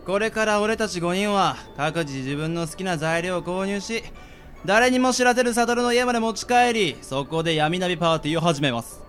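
A man plays a breezy young schemer in a radio drama. The voice is high and very animated, with a teasing, nonchalant air. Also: normal speed, faint music behind. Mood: teasing, confident. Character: mischievous, breezy young man plotting pranks, Shun. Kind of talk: amateur radio drama acting.

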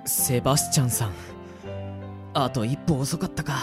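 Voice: medium pitch, moderate pace, over music, close to an everyday voice. A performer playing an exasperated, wisecracking boy in an amateur comedy radio drama, with dry retorts, muttered asides and startled outbursts. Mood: dry, thoughtful. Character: exasperated, wisecracking boy trapped in a swapped body.

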